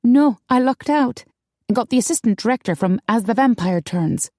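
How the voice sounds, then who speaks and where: An excitable, dreamy young woman, acted by a female narrator in a storybook tale. Her voice is lifted high and swings widely as she exclaims in wide-eyed wonder, close and dry on the recording. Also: moderate pace, dry recording, close to an everyday voice.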